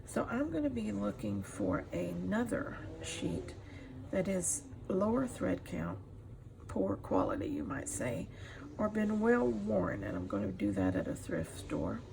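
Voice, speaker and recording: Gravelly middle-aged woman teaching crafts. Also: medium pitch, sing-song, measured pace. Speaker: craft tutorial host. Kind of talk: casual craft demonstration while sewing. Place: indoors at a craft table. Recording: clear background noise, room ambience.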